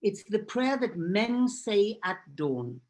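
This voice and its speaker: A woman whose first language is Hebrew tells a personal story to an audience in an earnest, emphatic way. Her pitch sits at an ordinary middle level, and she often repeats phrases to drive a point home. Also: sing-song, takes it slowly, darkish tone. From Israel. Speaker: guest speaker.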